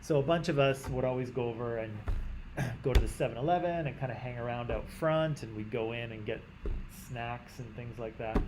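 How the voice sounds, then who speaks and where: A Canadian man teaching a class in a conversational way, often checking in with "right?" and clearing his throat. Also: mid-range voice, moderate pace, noisy background. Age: adult. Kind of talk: classroom lecture.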